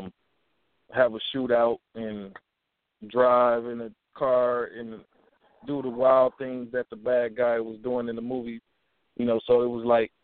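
A young man from Milwaukee talks easily as an interview guest, stopping and restarting his thoughts with lots of "you know." The sound is thin and phone-like, with no room echo at all. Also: mid-range voice. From Milwaukee, USA. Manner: relaxed, halting with many restarts and "you know". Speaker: rapper and actor guest. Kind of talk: casual interview talk. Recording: tinny phone-like sound, dry.

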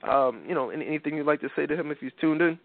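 A man's voice: fairly high pitch, rapid-fire, no echo. Congested man hosting a phone-quality talk show. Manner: rambling, many restarts and "you know"s. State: congested from a cold. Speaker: podcast host. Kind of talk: casual talk show chat. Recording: tinny phone-like dry sound.

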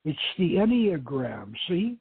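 An emotional, earnest woman archaeologist from an adventure novel, voiced by a man reading aloud. The voice is husky and raised in pitch, dramatic and argumentative, over a thin phone-like line. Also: very animated, slow pace, no echo, full character voice. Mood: insistent.

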